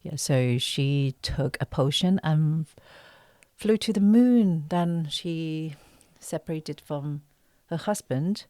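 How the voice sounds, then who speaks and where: Halting Chinese-accented woman, dry close sound. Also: low-pitched, dark tone, dry recording. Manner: halting, searching for words in simple phrasing. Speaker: actress, podcast guest. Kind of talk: casual interview.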